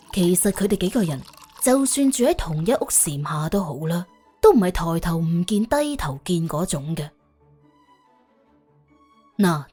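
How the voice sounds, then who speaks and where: Husky, animated woman storyteller. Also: mid-range voice. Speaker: audiobook narrator.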